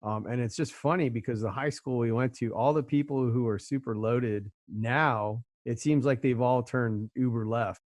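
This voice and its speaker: A man's voice: mid-range voice, normal speed, few pauses, a little mellow, no echo, clean studio sound. A middle-aged man from California hosting a casual conversation, rambling a little with fillers and repeated words. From Orange County, California. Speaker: host.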